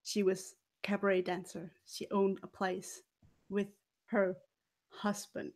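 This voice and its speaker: A woman telling a story in character as a haughty, scheming former cabaret dancer, theatrical and deliberate with dramatic pauses and swells. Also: mid-range voice, level tone, darkish tone. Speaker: player in a murder mystery role-playing game.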